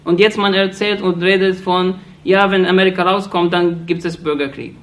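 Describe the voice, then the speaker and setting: A man from Iraq gives an impassioned political speech in halting German, restarting phrases often and sounding earnest and accusatory. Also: high voice, fairly even intonation, a bit bright. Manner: halting non-native German, frequent restarts and fillers. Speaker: speaker addressing an audience.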